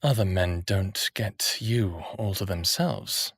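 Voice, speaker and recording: A man's voice: sits low, slow pace, slightly rough, dry recording, studio quality, full character voice. An actor playing a stubborn, lovestruck smartass of a human man in his thirties, narrating his own story in an intimate audio drama. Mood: teasing, amorous. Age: 30s. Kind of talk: first-person audio drama narration and dialogue.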